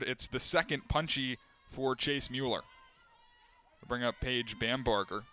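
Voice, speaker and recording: Bright-voiced young play-by-play man. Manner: rises sharply in pitch and volume on big plays. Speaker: play-by-play announcer. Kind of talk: live sports play-by-play commentary.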